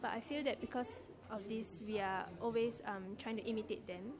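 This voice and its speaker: A young woman student from Singapore answering interview questions thoughtfully, pausing often on "um". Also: on the high side, fairly even intonation. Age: young adult. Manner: thoughtful, many "um" pauses. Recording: crowd chatter behind.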